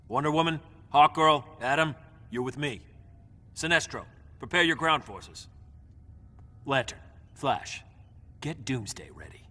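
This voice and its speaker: An actor playing a tyrannical superhero turned dictator, cold, commanding and threatening, for a video game. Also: male voice, medium pitch, lively intonation, unhurried, long pauses, sharp tone.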